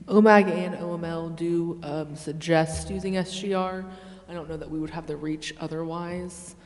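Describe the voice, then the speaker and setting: An American woman giving frank, measured explanatory remarks as a staff member at a public meeting. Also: lowish voice, steady tone, darkish tone. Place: indoor council chamber. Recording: reverberant meeting chamber with background noise.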